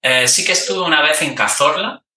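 A man hosting a casual interview, speaking in a relaxed, thoughtful way with frequent hesitations. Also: fairly high pitch, normal speed. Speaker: podcast host interviewer. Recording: echoey room, distant mic.

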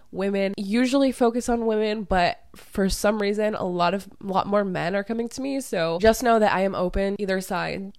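A woman's voice: mid-range voice, dry recording. A young woman who has lost part of her voice to illness, hosting a casual solo podcast as a dating coach and talking candidly with some swearing. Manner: candid, swears freely, laughs easily. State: hoarse, losing her voice from illness.

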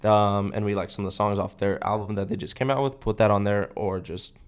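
A young American man with a fairly low voice talks casually as a podcast co-host. His manner is slangy, laid-back and keen, like a relaxed chat between buddies. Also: brisk, clear, resonant tone.

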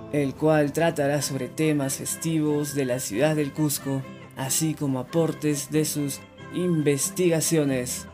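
A man's voice: on the high side, mellow. A man with a Peruvian accent hosting a podcast with a prepared, presentational delivery. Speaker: podcast host.

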